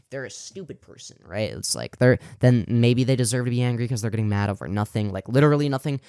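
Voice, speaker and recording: A man's voice: fairly low pitch, darkish tone, clean tone, no echo, clean studio sound. A young man co-hosting a podcast in casual chat, rambling and contrarian, swearing freely with lots of fillers and restarts. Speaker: podcast co-host.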